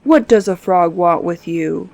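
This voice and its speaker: A woman's voice: lowish voice, a little slow, vocal fry, a little mellow. A woman voicing a concerned, fatherly old king with a firm, stately manner in a fairy tale.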